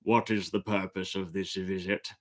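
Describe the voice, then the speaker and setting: A reader voicing a tense, firm male ship captain who speaks in a measured, grave way. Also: low-pitched, little pitch movement, natural voice.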